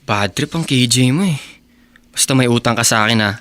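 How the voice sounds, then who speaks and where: Actor as a sulky teenage boyfriend, dry sound. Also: male voice, medium pitch, expressive, fairly quick, a bit bright, no echo, natural voice. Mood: wheedling, sulky. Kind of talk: radio drama dialogue.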